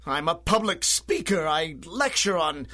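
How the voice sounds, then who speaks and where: High, frightened pleading villain. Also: male voice, expressive, unhurried, bright, forceful tone, exaggerated voice. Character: frightened, pleading male villain, hired rabble-rousing public speaker. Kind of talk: melodramatic radio drama acting.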